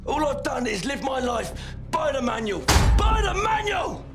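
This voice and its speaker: An actor playing an earnest young British soldier under strain in a war video game. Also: male voice, extremely high pitch, big pitch swings, normal speed, over loud music. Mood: furious despair. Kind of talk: dramatic video game acting.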